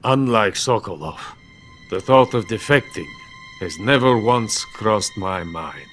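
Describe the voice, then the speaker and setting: Casting: proud, embittered older Soviet scientist, hard-drinking, low-pitched, theatrical game drama over music. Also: male voice, expressive, slow, fairly bright tone, full character voice. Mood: melancholy, proud. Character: bitter, drunken, proud older male Soviet weapons scientist. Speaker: game character actor. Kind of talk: dramatic video game cutscene acting.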